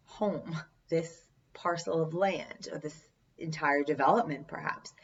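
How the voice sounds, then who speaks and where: Hoarse woman, middle-aged, low voice, slow unhurried telling, chatty storyteller, distant dull recording. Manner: chatty, earnest storytelling with asides. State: hoarse, losing her voice. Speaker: channel host. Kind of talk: storytelling vlog.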